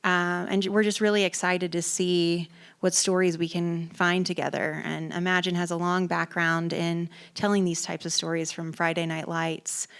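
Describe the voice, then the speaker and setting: A woman's voice: lowish voice, little pitch movement, normal speed, fairly bright tone, clear, resonant tone. A woman from Texas, an entertainment executive, speaking casually on a festival panel. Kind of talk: panel discussion.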